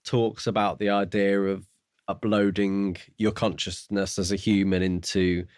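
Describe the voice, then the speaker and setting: A man's voice: low-pitched, little pitch movement, a little slow, a little mellow, clean tone, dry recording, studio quality. A man co-hosting a podcast in a casual discussion about a book. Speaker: podcast co-host.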